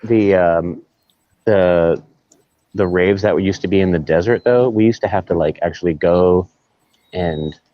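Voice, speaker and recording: Low-voiced man in his forties, loose and rambling, heard on dull lo-fi audio. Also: unhurried, no echo. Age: 40s. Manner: rambling, searching for words. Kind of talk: casual group chat.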